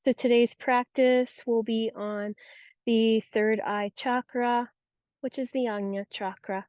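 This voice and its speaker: A woman guiding a yoga nidra relaxation practice with soothing, unhurried, gentle instruction. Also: on the high side, steady tone, dry recording, highs cut off. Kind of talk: guided relaxation meditation.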